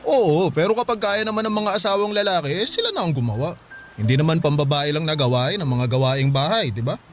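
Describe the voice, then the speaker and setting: An actor playing a devoted, protective Filipino husband in a radio drama, earnest and fussing. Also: male voice, on the high side, expressive, fast talker, a bit bright, faint music behind. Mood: caring. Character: devoted, protective husband, excitable soon-to-be father. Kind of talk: radio drama acting in conversational Tagalog.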